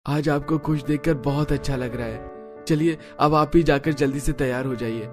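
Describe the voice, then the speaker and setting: A man's voice: fairly high pitch, steady tone, moderate pace, soft background music, pitched above the performer's own voice, natural voice. A man voicing a cheerful, caring young brother-in-law in a cartoon, friendly and respectful. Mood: happy, caring.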